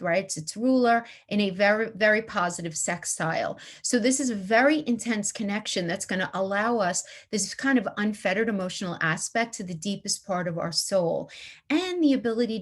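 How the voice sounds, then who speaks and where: A woman giving a casual astrology forecast to her viewers, chatty and encouraging, with many fillers and tag questions. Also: medium pitch. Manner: encouraging, addresses viewers as guys.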